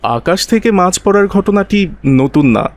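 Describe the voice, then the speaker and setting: A man voicing a learned, calm village mosque cleric in a cartoon, teaching with measured authority. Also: high voice. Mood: solemn teaching. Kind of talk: didactic cartoon dialogue with recitation.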